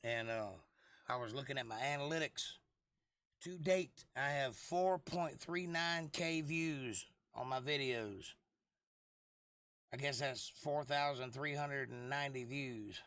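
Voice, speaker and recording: A man with a hoarse, froggy voice hosting a casual livestream, rambling and joking at his own expense as he replies to viewers. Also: medium pitch, a little slow, fairly bright tone, no room sound, clean studio sound. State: lost voice for months, throat clearing. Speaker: livestream host.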